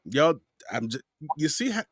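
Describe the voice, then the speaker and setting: A man's voice: a little high, very animated, normal speed, no room sound, studio quality. A man hosting a podcast, chatting casually and playfully with a guest over a remote video call, full of joking asides. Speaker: podcast host. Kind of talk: casual interview chat.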